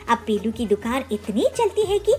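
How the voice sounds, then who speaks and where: High-pitched, animated woman storyteller. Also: moderate pace. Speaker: narrator. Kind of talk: scripted children's story narration.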